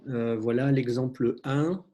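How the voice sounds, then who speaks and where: A man's voice: mid-range voice, steady tone, a little slow, dark tone, slight background noise. A man, a photovoltaic professional, presenting a case study in an online webinar in a hesitant way.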